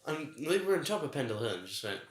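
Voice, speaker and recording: A man co-hosting a casual review podcast, enthusiastic and quick to laugh. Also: medium pitch, big pitch swings, a little hiss or hum. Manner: enthusiastic, laughs often, acts out reactions. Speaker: podcast co-host. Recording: echoey room.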